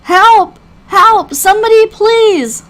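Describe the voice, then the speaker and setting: A woman's voice: very high-pitched, lively intonation, moderate pace, voice pushed up. A woman voicing a badly injured young woman who pleads desperately in a strained voice, for a visual novel. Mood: panicked.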